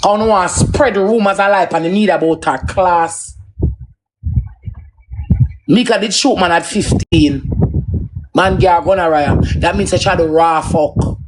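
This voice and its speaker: A man's voice: sits high, a little slow, pauses often, slight background noise, rough recording. A man with a Jamaican accent in a combative, profane, emphatic unscripted rant on a livestream. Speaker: social media live streamer.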